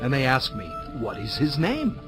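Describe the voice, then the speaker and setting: An actor playing Moses, an anxious, humble, doubting prophet, speaking earnestly and hesitantly in dramatized scripture. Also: male voice, mid-range voice, huge pitch swings, normal speed, over music. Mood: worried, questioning.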